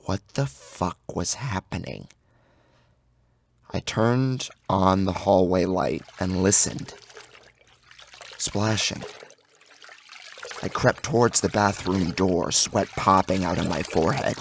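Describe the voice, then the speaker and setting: A man's voice: sits low, slow pace, gravelly, long pauses, slight background noise. A man giving a theatrical, suspenseful first-person narration of a horror story. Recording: loud music under the narration. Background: loud music.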